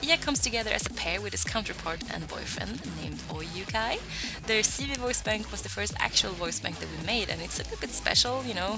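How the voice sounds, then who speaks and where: Young woman with a vocal fry. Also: fairly low pitch. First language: Swedish. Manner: chatty and self-deprecating, with filler "like" and asides. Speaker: voicebank creator answering fan questions. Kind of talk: casual Q&A vlog talk.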